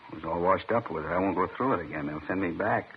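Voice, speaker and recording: An American actor playing a worn-down burglary suspect who repeats himself defensively and pleadingly in 1950s radio drama style. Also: male voice, low voice, fast, lightly acted. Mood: weary pleading. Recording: muffled old radio recording with hiss.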